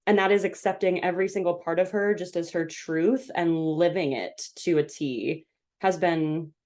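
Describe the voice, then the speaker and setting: A woman with a fairly low voice takes part in a casual podcast chat as the guest. She speaks frankly and expressively, in loose conversational phrasing. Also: normal speed, a little mellow.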